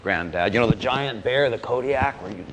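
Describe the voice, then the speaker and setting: A man voicing a cocky, condescending big-game hunter in a told story, with flat, patronizing replies. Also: medium pitch, moderate pace, a little hiss or hum, lightly acted, rough recording.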